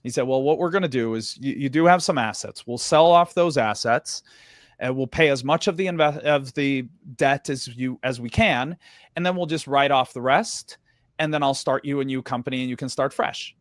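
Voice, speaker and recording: A man's voice: no room sound, studio quality. A man from Sweden with an American accent, telling his story as a guest in an interview and acting out the conversations. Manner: animated storyteller, acts out dialogue with quick jumps in pitch.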